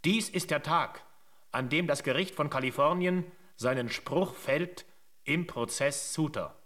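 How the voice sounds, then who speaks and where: Narrator for historical radio drama: grave storytelling, slightly high pitch. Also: male voice, unhurried, pushed and bright. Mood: grave, dramatic.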